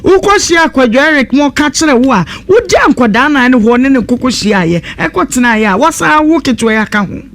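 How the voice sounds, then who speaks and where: A woman's voice: high voice, expressive, a little fast, hardly any pauses. A woman with a Ghanaian accent hosting a live radio talk show, questioning and commenting in a blunt, probing way.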